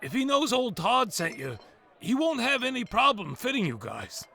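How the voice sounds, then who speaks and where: A man's voice: very high-pitched, huge pitch swings, moderate pace, creaky, pushed and bright, full character voice. A man playing a folksy, hesitant old diner owner in an audio drama. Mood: relieved, grateful.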